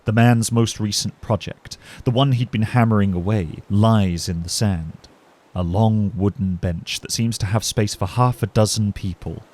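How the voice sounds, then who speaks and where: A man narrating a scripted fantasy story in a measured, dramatic storytelling manner. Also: on the low side, a little mellow. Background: music.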